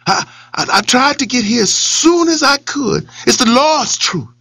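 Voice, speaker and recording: A storyteller voicing a small, sly male rabbit trickster who stammers and talks in a fast pleading patter. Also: high-pitched, expressive, bright, forceful tone, slightly rough, no echo, clean studio sound, pitched above the performer's own voice, full character voice. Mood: nervous.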